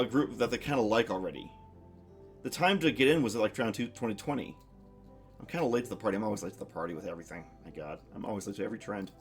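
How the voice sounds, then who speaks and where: Rambling, reflective male streamer. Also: medium pitch. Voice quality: masculine voice. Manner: rambling, reflective, many restarts and fillers. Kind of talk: casual stream chat.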